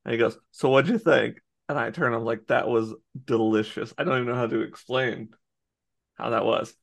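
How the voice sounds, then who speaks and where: Gravelly American man telling stories. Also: medium pitch, steady tone, normal speed. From United States. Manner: laughs often, rambling storytelling with restarts. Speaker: podcast co-host. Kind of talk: casual chat.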